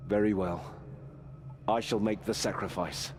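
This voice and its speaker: A man's voice: fairly low pitch, steady tone, a little slow, a little hiss or hum, natural voice. A man playing an earnest, virtuous knight adventurer in a fantasy video game, with a solemn delivery.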